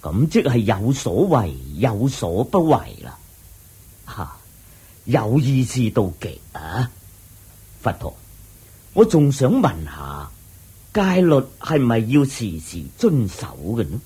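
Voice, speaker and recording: A man voicing an earnest official in a radio drama, asking a teacher questions deferentially in a husky voice. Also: mid-range voice, sing-song, slow pace, long pauses, dark tone, exaggerated voice. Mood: inquisitive. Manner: deferential, inquisitive. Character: earnest, respectful male official of a small kingdom.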